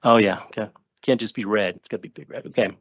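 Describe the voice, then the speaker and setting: A man introducing new staff at a school board meeting, chatty and relaxed, with joking asides. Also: fairly low pitch, fast, muffled recording. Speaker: school district administrator introducing new hires. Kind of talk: informal remarks at a public board meeting. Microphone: table microphone.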